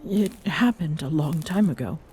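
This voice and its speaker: A woman playing a tender, wistful but guarded Amazonian queen in an intimate ASMR roleplay, speaking softly and pensively, often close to a breathy whisper. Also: sits low, expressive, normal speed, slight background noise. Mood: subdued, gentle.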